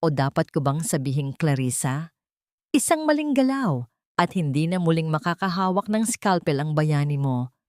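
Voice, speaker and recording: A woman's voice: sits low, expressive, normal speed, darkish tone, no echo, clean studio sound. A woman voicing a menacing, scheming husband in a taunting, dramatic manner for an audio story. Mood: sneering menace. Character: menacing, sneering man, treacherous scheming husband.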